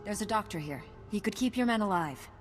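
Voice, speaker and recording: A woman's voice: mid-range voice, animated, fairly quick, faint music behind, close to an everyday voice. A woman playing a resolute, earnest knight heroine in a science fiction video game, with a composed manner. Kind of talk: video game cutscene acting.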